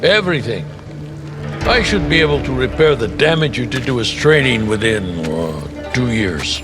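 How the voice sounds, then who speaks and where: A man's voice: medium pitch, lively intonation, over music, lightly acted. Man as a stern, serene old martial arts master. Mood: dry, resigned. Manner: formal, measured, dry. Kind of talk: video game cutscene voice acting.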